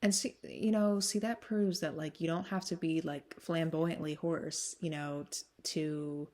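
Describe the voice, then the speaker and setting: A woman with a smoky, slightly hoarse voice, still getting over a cold, chatting casually to her followers with lots of fillers and frequent laughs. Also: sits low, fairly even intonation, unhurried, darkish tone. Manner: chatty, full of fillers, laughs often, drifts back and forth. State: recovering from a cold, sinus congestion. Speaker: vlogger. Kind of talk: casual rambling chat to followers.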